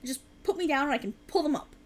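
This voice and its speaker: A woman's voice: high voice, lively intonation, very fast. A woman acting a sleep-deprived, stubborn writer girlfriend in a conversational ASMR roleplay, with a teasing, dramatic, bantering manner. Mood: stubborn, defensive.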